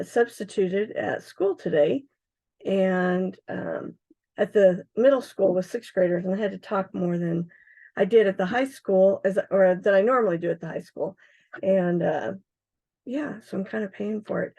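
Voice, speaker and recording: Hoarse, chatty woman hosting a craft livestream. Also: medium pitch, normal speed, mellow. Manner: chatty, lots of ums and uhs. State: hoarse, froggy voice. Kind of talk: casual live product demonstration.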